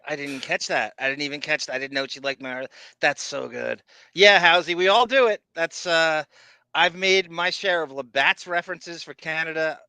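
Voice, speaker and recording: A man's voice: on the high side, moderate pace, pushed and bright, clean tone, no room sound. A man co-hosting a podcast in casual chat, joking and swearing, often stammering and restarting. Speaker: podcast co-host.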